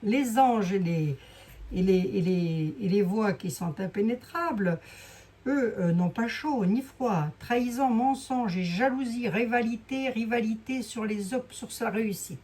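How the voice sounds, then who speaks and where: A woman with a hoarse voice giving a casual, rambling card reading, full of fillers and asides to her viewers. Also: fairly high pitch, a little slow, a little mellow. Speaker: clairvoyant doing a card reading. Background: fan noise.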